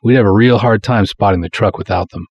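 A man's voice: fairly low pitch, fast talker, darkish tone, husky, dry recording, muffled recording. A man playing a proud, folksy small-town cop who narrates his own pulp detective story in breezy, wisecracking, colloquial style. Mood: suspenseful. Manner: breezy, colloquial, hard-boiled wisecracking delivery. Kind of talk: dramatic first-person pulp story narration.

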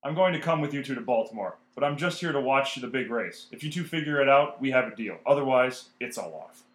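A man's voice: medium pitch, animated, brisk, natural voice. A man playing a hard-nosed, condescending showbiz agent in a radio play. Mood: stern. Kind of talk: old-time radio play acting.

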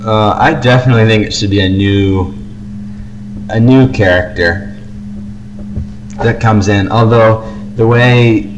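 A man's voice: on the low side, fairly even intonation, unhurried, pauses often, lo-fi sound, noisy background. A man co-hosting a fan podcast in casual, easygoing conversation. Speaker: podcast co-host.

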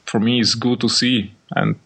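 A young man from Slovakia with a Slovak accent, a podcast guest answering questions in halting English and searching for words. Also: medium pitch, fairly even intonation, fairly bright tone, breathy edge. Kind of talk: podcast interview.

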